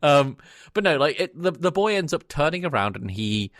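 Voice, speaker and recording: Animated man chatting, dry sound. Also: a little high, normal speed, dry recording. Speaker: podcast co-host. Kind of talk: casual chat retelling a film.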